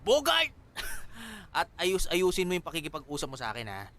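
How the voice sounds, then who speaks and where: An actor playing a menacing, mocking drunken thug who bullies and taunts in a radio drama. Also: male voice, high-pitched, wildly swooping pitch, normal speed, sharp tone, exaggerated voice. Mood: taunting. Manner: taunting, swaggering, punctuated with mocking laughter.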